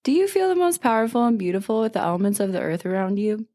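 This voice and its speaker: A woman's voice: medium pitch, big pitch swings, fast, mellow, no room sound. A woman who owns a small business reading her own scripted advertisement. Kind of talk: scripted ad read.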